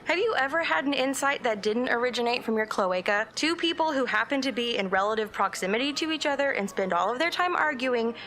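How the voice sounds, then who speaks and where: Woman acting a bright, sarcastic trainee. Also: fairly high pitch, animated, fairly quick, hardly any pauses, slight background noise. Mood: exasperated. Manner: dry, combative. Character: sarcastic, exasperated trainee, former teacher, coffee lover. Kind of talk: audio drama acting.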